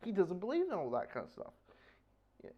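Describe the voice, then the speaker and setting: A man with a somewhat high-pitched voice talks through a casual review. He rambles and halts, restarting phrases and repeating himself as he goes. The recording is dull and lo-fi. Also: huge pitch swings. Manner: halting, false starts, repeats himself. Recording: dull lo-fi microphone sound.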